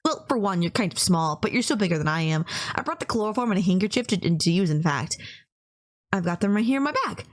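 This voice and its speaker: A woman's voice: mid-range voice, lively intonation, fast talker, lightly acted. Woman acting a sweet, polite, lovestruck yandere girlfriend. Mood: earnest, rambling. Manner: polite, bashful, earnest, rambling with small stammers. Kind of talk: first-person romantic audio roleplay, speaking to listener.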